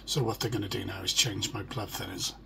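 A middle-aged British man with a hoarse, croaky voice, talking haltingly and in a downbeat way in a casual personal video diary. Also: lowish voice, fairly even intonation. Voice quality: croaky, gravelly. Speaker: vlogger. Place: small furnished room at home. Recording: noisy home phone or webcam recording.

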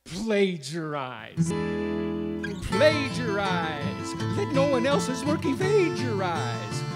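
A man's voice: high-pitched, full character voice. An older man playing a gleeful, mock-solemn Russian mathematician rogue with a Russian accent, half singing and half speaking a comic patter song. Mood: sly, gleeful. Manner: theatrical, mock-solemn, hammy. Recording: loud acoustic guitar accompaniment.

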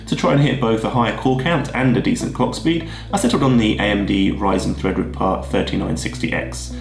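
A man, husky from a head cold, walking through his tech choices in a steady, methodical way. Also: fairly low pitch. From Australia. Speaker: video creator. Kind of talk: scripted tech vlog explanation. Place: plain room indoors, seated at a desk. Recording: roomy echo with music behind.

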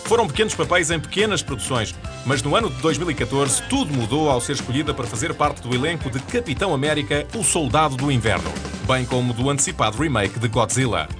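A man with a European Portuguese accent reading scripted narration for a TV channel promo in a smooth, even announcer delivery. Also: mid-range voice, fast talker. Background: music bed.

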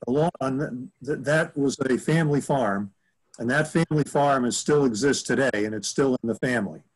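A man in his seventies reminiscing casually on a video call from home, rambling and halting as he corrects himself. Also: mid-range voice, low-quality recording. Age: 70s. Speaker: author answering audience questions. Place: room at home. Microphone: computer mic on a video call.

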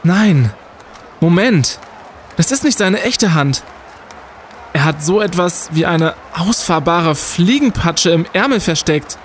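A man's voice: a little high, expressive, takes it slowly, a little hiss or hum. A man playing an indignant, pedantic young student detective in a radio play, speaking emphatically. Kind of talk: scripted radio play acting.

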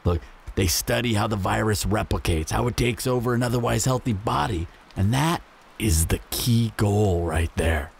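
A man's voice: lowish voice, normal speed, vocal fry, slight background noise. A man playing a menacing, smug apocalypse bandit in an audio roleplay, taunting and mock-friendly with a drawl.

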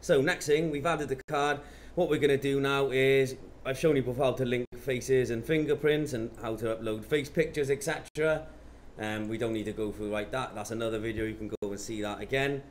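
A man, talking matter-of-factly through steps in an informal software tutorial. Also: medium pitch, fairly even intonation, a little fast. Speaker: presenter of product tutorials. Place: indoor office. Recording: clear background noise.

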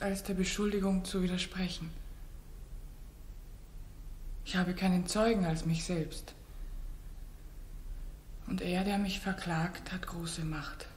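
A woman's voice: lowish voice, darkish tone, slight background noise, natural voice. An actress playing a proud, anguished queen on trial with solemn, declamatory delivery in a 1960s German radio drama. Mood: wounded, resigned. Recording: echoey 1960s radio studio recording.

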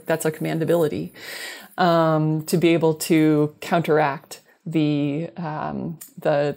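A woman in her thirties with a low voice, speaking as an expert guest. She explains technical science in a conversational way over a video call from an indoor office. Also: darkish tone. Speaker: astronomer, interview guest.

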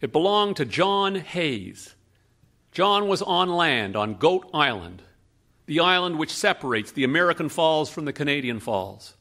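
A middle-aged man narrating a true story on a theatre stage, measured and dramatic with pauses, into a stand mic at a lectern. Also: on the high side, animated, bright, forceful tone. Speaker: radio storyteller and host.

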